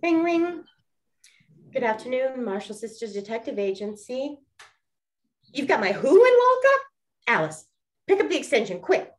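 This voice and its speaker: Lively high-voiced 1920s lady detective, woman acting. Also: moderate pace, pauses often. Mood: flustered, brisk. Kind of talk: radio play acting.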